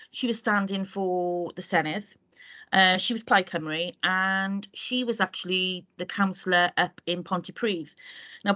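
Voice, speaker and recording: A Welsh woman from Wales, a community councillor, talking earnestly in a casual interview and pausing often as she gathers her thoughts. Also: mid-range voice, pushed and bright, highs cut off. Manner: earnest, meandering with frequent hesitations.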